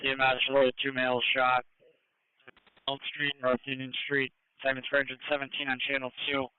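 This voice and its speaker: A monotone male dispatcher speaks over a narrow, phone-like radio channel. His voice is clean and dry, and he gives short emergency announcements without ornament. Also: mid-range voice, normal speed, smooth, no echo. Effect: two-way radio. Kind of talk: terse scanner dispatch announcements. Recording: narrowband fire and EMS scanner feed.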